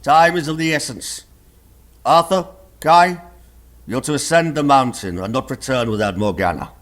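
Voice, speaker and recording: A man's voice: medium pitch, moderate pace, a bit bright, lightly acted. An actor playing an impatient, panicky king in a comic radio play, commanding and dramatic. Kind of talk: radio play comedy acting.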